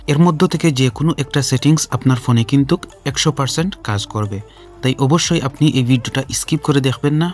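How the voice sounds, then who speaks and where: A man hosts a step-by-step tech tutorial at a quick pace. He sits at an average male pitch and repeats instructions directly to his viewers, with music playing behind him. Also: darkish tone. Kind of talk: scripted-sounding how-to narration addressed to viewers. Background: background music.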